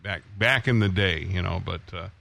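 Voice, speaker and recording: A man hosting a casual radio chat about old transmitters, rambling with many pauses and restarts. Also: low-pitched, gravelly, pushed and bright, no echo.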